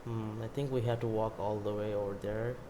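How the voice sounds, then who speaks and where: A man's voice: lowish voice, level tone, brisk, heavy background noise. A man giving casual gameplay commentary in an offhand way, as if talking to himself. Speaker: gameplay streamer. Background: game music.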